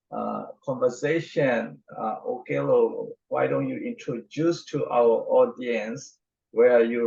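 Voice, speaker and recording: A man hosting a podcast, asking questions in slow, hesitant phrasing with frequent fillers. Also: medium pitch, vocal fry, darkish tone. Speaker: podcast host. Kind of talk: interview questions. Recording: dull lo-fi audio.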